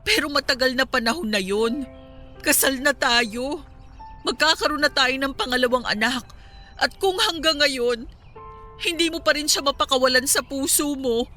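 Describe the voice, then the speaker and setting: An actress with a Filipino accent playing a hurt, guarded wife in a radio drama. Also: female voice, high voice, normal speed, sharp tone. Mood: hurt, firm. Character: hurt pregnant wife, firm and guarded with her cheating husband. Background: music.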